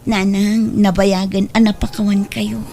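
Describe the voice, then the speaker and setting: A woman's voice: mid-range voice, level tone, measured pace, warm tone, natural voice. A woman playing a forgiving, respectful grown daughter and young mother in a radio drama, with an Ilocano accent. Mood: gentle, forgiving. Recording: music bed behind the voice.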